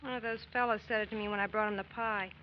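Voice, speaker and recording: An actress playing a sassy, chatty small-town American diner waitress in an old-time radio drama, with nosy, slangy banter. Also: female voice, medium pitch, steady tone, fast, lightly acted. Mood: puzzled. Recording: muffled, faintly noisy old radio recording.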